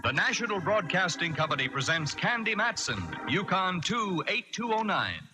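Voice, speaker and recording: A man's voice: high-pitched, sing-song, moderate pace. A man announcing a 1940s radio show in a formal, theatrical style. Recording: vintage radio recording with prominent music.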